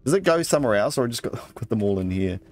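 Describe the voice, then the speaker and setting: A man's voice: medium pitch, big pitch swings, fast talker, darkish tone. Male gamer over game music. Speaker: let's play gamer. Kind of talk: casual game commentary, reading in-game notes aloud.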